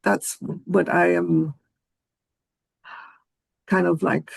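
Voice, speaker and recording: A woman whose first language is Hebrew, speaking slowly and deliberately as a panelist in a discussion. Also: fairly low pitch, level tone, creaky, slightly rough, no room sound. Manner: slow, deliberate, earnest.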